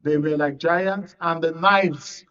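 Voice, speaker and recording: A man's voice: on the high side, steady tone, slow, fairly bright tone, muffled recording. A Nigerian man hosting a radio panel, talking casually about politics. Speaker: radio show host. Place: radio and TV studio.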